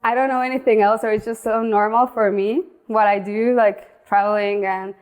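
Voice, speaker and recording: A young woman speaking English with a Mexican accent, Spanish being her first language. She talks in an even, narrow pitch band, pausing and restarting phrases as she tells of herself as a guest. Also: clear, resonant tone. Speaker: guest, outdoor content creator. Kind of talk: casual interview talk.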